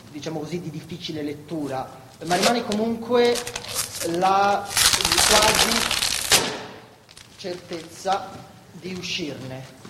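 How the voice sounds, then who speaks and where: A man acting on stage as an evasive doctor who hedges a grim prognosis in halting bureaucratic language. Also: high-pitched. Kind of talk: live theatre acting. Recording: noisy, echoey theatre hall.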